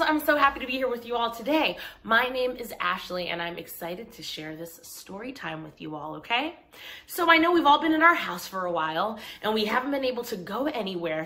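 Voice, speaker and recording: A woman hosting a story time for young children, speaking directly to them in simple, clear phrases as she talks and reads aloud. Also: mid-range voice, a bit bright. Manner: addresses listeners directly, simple explanations with check-in questions.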